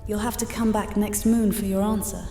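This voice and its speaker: A woman playing an imperious, defensive high priestess in an audio drama, theatrical, in an echoing chamber with music. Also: fairly even intonation, moderate pace, warm tone, natural voice. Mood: haughty. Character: haughty, indignant high priestess, a fake oracle.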